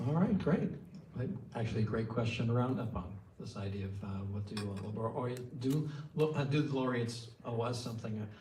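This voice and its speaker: A man moderating a panel, wrapping up with brisk, stumbling restarts. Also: mid-range voice, lively intonation, dark tone, slight background noise. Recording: roomy hall, mic at a distance.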